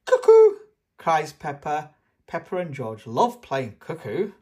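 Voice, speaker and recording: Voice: mid-range voice, animated, slow pace. An adult host reading a children's storybook aloud expressively, speaking directly to young children. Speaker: children's book reader and channel host. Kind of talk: scripted children's storybook narration.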